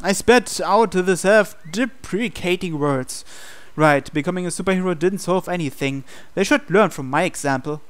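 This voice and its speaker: A man's voice: sits high, moderate pace, hardly any pauses, fairly bright tone, clean tone. A man reading visual novel text aloud as dramatic scripted narration for a playthrough.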